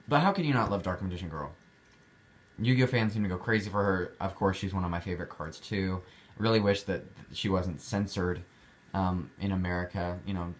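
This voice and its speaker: A young man chatting casually about his collectibles, rambling with fillers and restarts. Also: sits low. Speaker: card collector showing his cards. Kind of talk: casual vlog chat.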